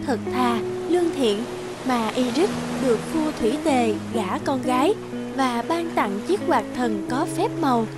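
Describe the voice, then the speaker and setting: A woman's voice: high-pitched, lively intonation, a little slow, over loud music. A woman reading scripted fairy-tale narration as the storyteller.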